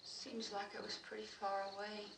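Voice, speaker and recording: A woman's voice: medium pitch, completely flat delivery, normal speed, a bit bright, natural voice. An actress playing an anxious young woman in a 1960s horror film, hesitant and subdued. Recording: echoey noisy old film soundtrack.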